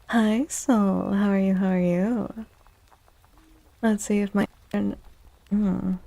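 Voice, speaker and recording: A woman speaks softly and close to the microphone as she hosts an ASMR livestream. Her voice is mellow with a creaky fry, and she takes her time. The delivery is casual and chatty, like talk addressed to a chat room of viewers. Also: mid-range voice. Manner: chatty, unhurried, greets viewers by name. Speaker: live streamer hosting ASMR tarot stream.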